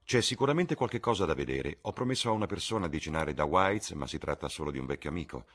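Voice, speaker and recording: A man voicing a witty, languid aristocratic gentleman in an audiobook, airy and mocking, with a husky voice. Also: very deep voice, lively intonation, fast talker, full character voice. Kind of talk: audiobook character voice.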